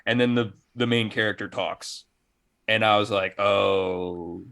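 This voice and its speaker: Enthusiastic low-voiced man chatting about games. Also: fairly even intonation, measured pace, vocal fry, a bit bright. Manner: enthusiastic, slangy, profane. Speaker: gaming podcast co-host. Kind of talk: casual gaming chat.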